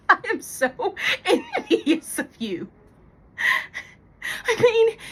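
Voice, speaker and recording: A woman performing a theatrical monologue as an anxious, fearful young woman, confiding haltingly with dramatic pauses. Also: medium pitch, a bit bright, slightly rough. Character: anxious young woman confessing a terror of thunderstorms.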